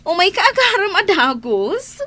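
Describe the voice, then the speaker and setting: High-pitched coy young maiden. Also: female voice, very animated, unhurried, bright, forceful tone, full character voice. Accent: Ilocano. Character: sweet, coy young unmarried woman being courted. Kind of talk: radio drama acting.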